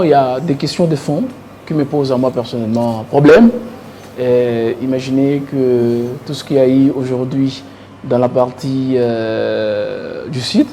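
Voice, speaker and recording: A man's voice: mid-range voice, mellow. A man with a Congolese accent giving political commentary as a panelist, repeating words as he searches for phrasing. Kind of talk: political debate commentary in French. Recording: clearly noisy studio recording.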